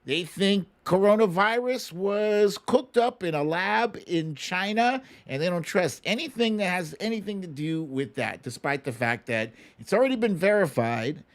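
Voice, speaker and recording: A man's voice: sits high, animated, normal speed, hardly any pauses. An American man hosting a live online talk show, chatting casually with viewers in an opinionated, emphatic, conversational way. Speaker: host of a livestream talk show.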